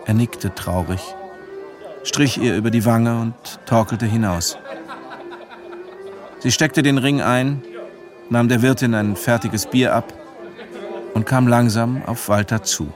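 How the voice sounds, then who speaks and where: A man's voice: mid-range voice, takes it slowly, long pauses, soft background music, a faint crowd. A man reading scripted literary narration as the narrator of a radio play. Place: studio.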